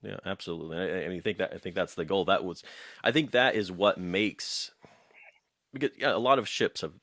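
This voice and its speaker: A man's voice: low voice, a little fast, a bit bright, no echo, clean studio sound. A man hosting a casual interview, conversational and emphatic, repeating words for stress. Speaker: interviewer, host.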